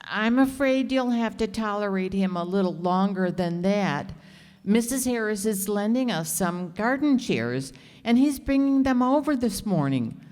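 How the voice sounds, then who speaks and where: A woman's voice: mid-range voice, expressive, a little slow, darkish tone, lightly acted. A woman playing a patient, polite society wife in a radio comedy re-creation. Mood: mild, placating. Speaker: radio player. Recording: reverberant hall, live stage performance.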